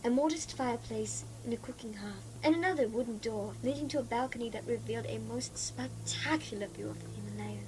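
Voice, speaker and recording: A woman's voice: fairly high pitch, a little fast, hardly any pauses, a little hiss or hum, rough recording. A woman reading dramatic scripted narration for a homemade radio play. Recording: amateur home cassette recording with music behind.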